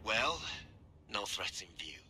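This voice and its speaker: An actor playing a stoic ex-Yakuza assassin in a video game, terse and deadpan. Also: male voice, fairly low pitch, takes it slowly, full character voice. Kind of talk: video game cutscene acting. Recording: clear background noise.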